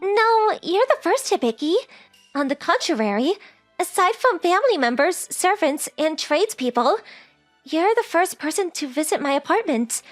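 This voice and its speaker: A woman's voice: very high-pitched, very animated, moderate pace, faint music behind. A woman voicing a sweet, polite rich teenage girl who is coy and forward, in a romantic comedy comic dub. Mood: coy, teasing. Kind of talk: anime-style comic dub dialogue.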